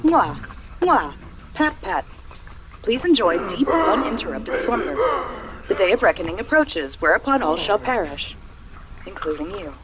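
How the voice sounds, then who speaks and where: A woman's voice: mid-range voice, very animated, measured pace, long pauses, crowd noise, rough recording. A woman voicing a cheerful, doting golem tour guide with a sweetly polite, robotic manner in an audio drama. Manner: robotic, announces each phrase type, sweetly polite. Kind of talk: audio drama character acting.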